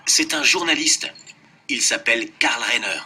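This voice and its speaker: A man's voice: mid-range voice, lively intonation, a little slow, bright, forceful tone, slightly rough, full character voice. A man voicing an adult man answering simple questions in a scripted textbook dialogue, plain and matter-of-fact.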